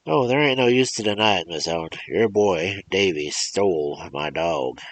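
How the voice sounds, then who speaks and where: A man voicing an angry old country man who yells accusations in rough rural grammar. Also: deep voice, lively intonation, normal speed. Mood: angry accusing.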